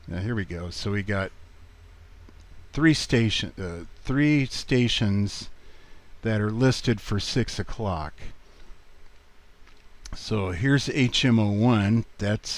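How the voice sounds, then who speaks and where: A man giving a casual screen walkthrough in a halting, unhurried way, as a video presenter. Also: on the low side.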